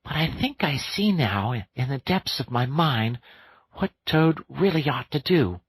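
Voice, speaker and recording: A man's voice: mid-range voice, expressive, breathy edge, pitched above the performer's own voice. A man doing a musing water rat. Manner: musing, deliberate speech. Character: thoughtful, fussy male water rat.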